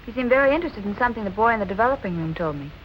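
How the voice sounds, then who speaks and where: An actress playing a stubborn, determined young amateur sleuth, brisk and self-possessed, in 1940s film noir style. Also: female voice, mid-range voice, lively intonation, dull audio, natural voice. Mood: intent, probing. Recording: noisy old film soundtrack.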